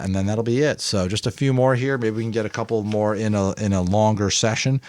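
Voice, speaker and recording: A man's voice: sits low, no echo. A man narrating an audiobook in a dramatic reading, with some casual commentary.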